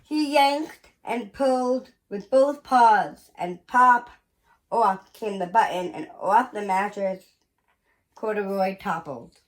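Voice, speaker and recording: A woman reading a children's picture book aloud in an expressive, deliberate storytelling manner with pauses. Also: mid-range voice. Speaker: museum educator reading aloud.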